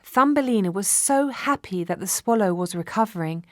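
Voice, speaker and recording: A woman storyteller reading a scripted children's fairy tale in a measured, expressive way. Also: medium pitch, no room sound, studio quality.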